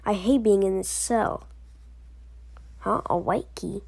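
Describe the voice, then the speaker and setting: A woman doing amateur voice acting, playing all the characters of an animated story herself. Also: medium pitch, slow pace, creaky, breathy edge.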